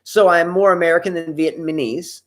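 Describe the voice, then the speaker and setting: A man's voice: fairly high pitch, fairly even intonation, brisk. A man co-hosting a casual live talk show, reading viewer comments aloud and picking apart their words. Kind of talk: casual live-stream chat.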